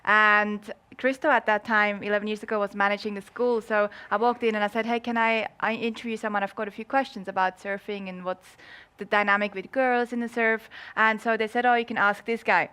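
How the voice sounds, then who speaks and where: A woman with a Swiss accent speaks in a medium-pitched voice as a guest on an interview. She is casual and chatty, with a playful, joking way of telling things. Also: steady tone, brisk, hardly any pauses, sharp tone, clear, resonant tone. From Switzerland. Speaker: interview guest, marketing entrepreneur.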